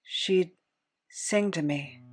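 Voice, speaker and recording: An actor playing a weary, haunted former captive in an audio drama, recounting a cruel past in a halting, measured way. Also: mid-range voice. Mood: wistful, pained.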